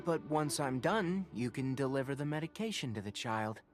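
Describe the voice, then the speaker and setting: A man playing a calm, pragmatic young forest ranger and healer in a video game, speaking in a measured, instructive way. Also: medium pitch, close to an everyday voice. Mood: brisk, practical. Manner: matter-of-fact, instructive, measured. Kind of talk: English video game voice acting. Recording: music behind the voice.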